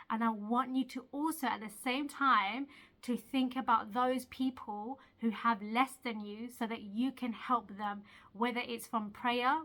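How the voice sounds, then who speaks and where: Bright-voiced woman, earnest chatty vlog. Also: fairly high pitch. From Eritrea. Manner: chatty and earnest, laughs at herself, asks the viewer questions. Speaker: video creator. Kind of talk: casual motivational talk to camera.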